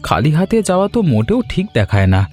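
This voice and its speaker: A man voicing a polite, good-natured young village husband in an animated Bengali family story, earnest and deliberate. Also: fairly high pitch, soft background music. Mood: earnest, agreeable.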